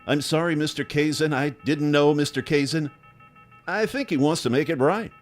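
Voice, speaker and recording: An actor playing a driven, forceful government boss in an audio drama. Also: male voice, a little high, normal speed, soft background music, close to an everyday voice. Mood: insistent, scheming. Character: intense, driven male government boss under suspicion.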